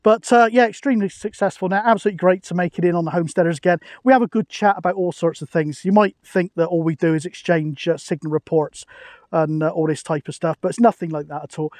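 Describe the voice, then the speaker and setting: A man's voice: high-pitched, fairly even intonation, fast talker, a little mellow, no echo, studio quality. A British man chatting casually and matily outdoors, as a radio hobbyist making a video. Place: outdoors on an open hilltop.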